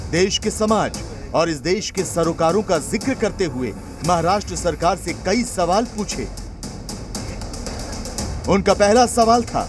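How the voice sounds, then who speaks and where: A man reading a scripted news report as voice-over, with an emphatic, dramatic delivery. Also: high-pitched. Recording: voice-over with music bed.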